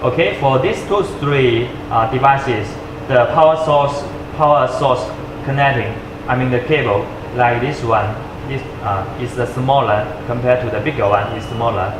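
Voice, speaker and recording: A man who speaks English as a second language gives an unscripted product demonstration, haltingly searching for words with uneven grammar. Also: mid-range voice. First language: not English. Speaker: product presenter. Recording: echoey room with clear background noise.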